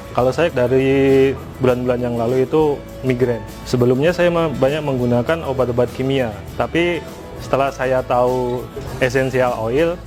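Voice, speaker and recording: Man, medium pitch, explanatory testimonial, entrepreneur, background music.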